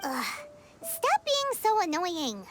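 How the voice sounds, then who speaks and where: A woman voicing a small, excitable, childlike fairy companion in an anime-style video game, with a squeaky voice and perky, emphatic manner. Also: slow pace, exaggerated voice. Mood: exasperated. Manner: perky, emphatic, refers to self by name. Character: small childlike flying fairy girl companion, excitable, talks in third person. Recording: loud background music.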